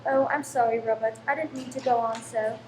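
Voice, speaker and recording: Woman acting a careworn Victorian wife, high voice, anxious complaining manner, radio play drama, roomy echo. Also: steady tone, fairly quick, darkish tone, lightly acted. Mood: apologetic, hopeful. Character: fretful, careworn Victorian London landlady, sharp-tongued wife. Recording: roomy stage recording, faint background noise.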